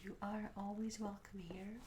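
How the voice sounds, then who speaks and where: A woman voicing a shy, curious young medieval tavern maid in a soft-spoken, half-whispered ASMR roleplay, gentle and hesitant with formal old-fashioned wording. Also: mid-range voice, little pitch movement, normal speed, a little hiss or hum, natural voice. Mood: gentle, hospitable. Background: tavern ambience sounds.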